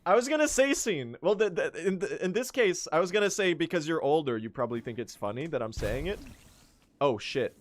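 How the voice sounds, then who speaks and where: A late-teen man from Sweden, a native Swedish speaker, chatting casually on a gaming livestream in a rambling, joking way with sudden excited outbursts. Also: fairly high pitch, a little fast. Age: late teens. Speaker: streamer.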